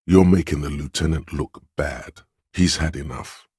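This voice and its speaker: A profoundly low, clean bass plays the domineering voice of authority inside a cop's head in a video game. He is imperious and measured, scolding and goading like a superior giving orders. The sound is dry and close. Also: dark tone, slightly rough, dry recording, full character voice. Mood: stern, scolding. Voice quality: very deep, resonant bass. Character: commanding, domineering inner voice of authority in a cop's mind. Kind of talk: dramatic game narration, inner-voice lines.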